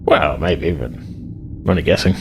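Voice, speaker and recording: A man running a tabletop game voices a shifty, cautious sci-fi ship's officer. He speaks low, in hesitant, conspiratorial phrases. Noise is clearly audible behind him. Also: unhurried. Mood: evasive. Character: shifty, cautious male starship officer goading a crewmate.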